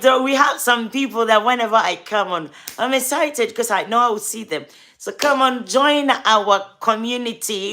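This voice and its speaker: A woman with a Ghanaian accent hosts a relaxed livestream, chatting with her viewers as they come in. Her voice is bright and forward, and she talks at an easy, unhurried pace, pausing often. She greets people by name and keeps coaxing them to subscribe. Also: medium pitch. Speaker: livestream host and gospel artist. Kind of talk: casual livestream chat.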